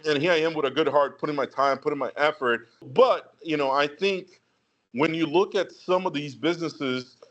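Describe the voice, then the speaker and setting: A man from Brazil, a guest speaking earnestly and haltingly in a casual interview. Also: on the high side, a bit bright. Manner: earnest, hesitant, many fillers and restarts. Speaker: guest entrepreneur. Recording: dull lo-fi audio.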